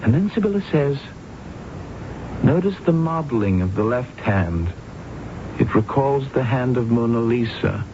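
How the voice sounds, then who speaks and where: An actor playing a haunted, hesitant former graduate student in a radio drama. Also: male voice, medium pitch, lively intonation. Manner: hesitant, halting, confiding. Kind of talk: 1970s radio drama acting. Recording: dull, noisy old radio broadcast.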